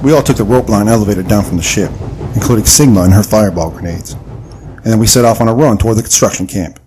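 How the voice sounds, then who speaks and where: A man's voice: lowish voice, fast, noisy background, close to an everyday voice. An actor playing a wry, cocky young-hearted marksman in an audio adventure drama, casual in delivery. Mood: narrating.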